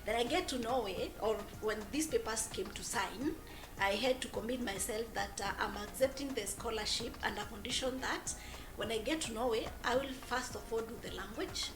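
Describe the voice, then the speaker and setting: A woman in her forties from Kenya, telling her story as a guest in an interview, pausing and restarting her phrases often. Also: a little high, roomy sound, over music, poor audio. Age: 40s. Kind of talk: conversational interview.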